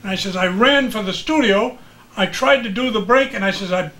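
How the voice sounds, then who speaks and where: An older man reminiscing casually as a former radio broadcaster, an animated storyteller who acts out the exchanges he remembers. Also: high-pitched, brisk. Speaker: retired radio broadcaster recalling his career.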